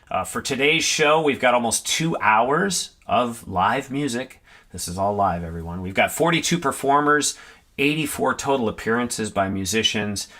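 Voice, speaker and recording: A man with an American accent hosts a live online music show. His voice sits a little low but has a bright, forceful edge. He delivers upbeat introductions to the audience. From Seattle, United States.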